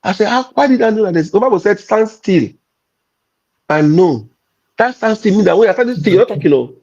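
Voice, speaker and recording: Nigerian male comedian, husky gravelly voice, emphatic repeats, pidgin turns; dull lo-fi recording. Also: high voice, lively intonation, a little fast, darkish tone. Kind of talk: candid conversational chat.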